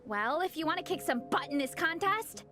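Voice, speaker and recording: A woman's voice: sits high, expressive, fairly quick, music in the background. A woman playing an upbeat, plucky young athletic heroine in a video game, cheerful and encouraging. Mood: rousing, encouraging.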